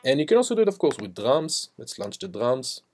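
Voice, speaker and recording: A man teaching music production, walking through software steps in an informal, instructional way. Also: medium pitch, very animated, a little fast. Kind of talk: step-by-step software tutorial. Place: voice-over to a screen recording.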